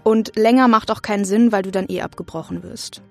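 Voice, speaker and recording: A woman's voice: medium pitch, steady tone, fairly quick, creaky, faint music behind. A young adult woman giving casual, informal and anecdotal answers as an interview guest. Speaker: interview guest, acting student.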